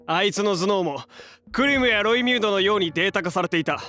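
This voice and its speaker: A cocky young action hero in an audio drama, his voice high for a man. He talks in casual, punchy, confident phrases, with soft music behind him. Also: expressive, fast. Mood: speculating. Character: cocky, loyal young male hero, quick-witted. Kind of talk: Japanese audio drama acting.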